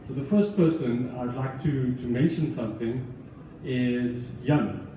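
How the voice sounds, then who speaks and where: A man who founded a book club and hosts it, addressing an audience in an earnest, measured way, with restarts. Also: medium pitch, dark tone, a little hiss or hum. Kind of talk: speech to an audience. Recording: echoey room, voice from far off.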